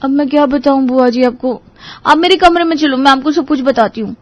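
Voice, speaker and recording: A woman's voice: high-pitched, fairly quick, no room sound, lightly acted. A woman playing an anxious, earnest young bride-to-be in a Hindi audio story drama. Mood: distressed, pleading.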